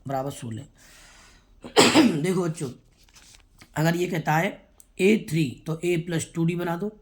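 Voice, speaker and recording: A man teaching a school math lesson, with a sore throat he keeps clearing. He speaks straight to the children and repeats each step. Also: fairly high pitch. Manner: repetitive, explains step by step, addresses the children directly. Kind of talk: classroom math lesson for students.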